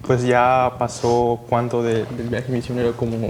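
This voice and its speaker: Young man from Mexico, soft-spoken. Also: medium pitch, monotone, unhurried, crowd noise. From Mexico, Jalisco. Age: young adult. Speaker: seminary student guest.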